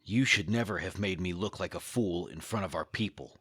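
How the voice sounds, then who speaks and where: A man playing a sullen, hot-headed gang leader with wounded pride in an audio drama, his voice gruff. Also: low voice, fairly quick, fairly bright tone, no room sound, clean studio sound. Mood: bitter.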